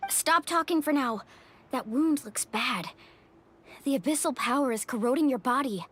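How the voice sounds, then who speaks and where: Bright-spirited, high-pitched young surfer heroine. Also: female voice, moderate pace, husky. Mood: concerned. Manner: energetic, casual. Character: upbeat, brave young surfer woman warrior. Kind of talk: video game voice acting.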